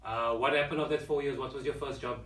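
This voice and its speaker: A man's voice: mid-range voice, steady tone, fast talker, fairly bright tone, slight background noise. A man interviewing a guest, mostly giving short encouraging responses. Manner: brief encouraging backchannels. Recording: echoey room, mic at a distance.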